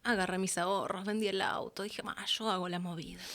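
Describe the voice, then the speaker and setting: A woman with a Rioplatense Argentine accent telling stories casually as an interview guest, expressive and colloquial. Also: mid-range voice, fairly quick. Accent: Rioplatense Argentine Spanish. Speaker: voice actress and announcer. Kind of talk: casual conversational storytelling.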